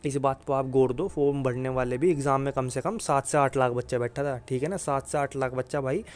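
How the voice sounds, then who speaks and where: A man's voice: medium pitch, steady tone, brisk, a little mellow. A man giving informal exam guidance like a coach, repeating his points emphatically and often addressing listeners directly. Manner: repetitive, emphatic reassurance with frequent filler address.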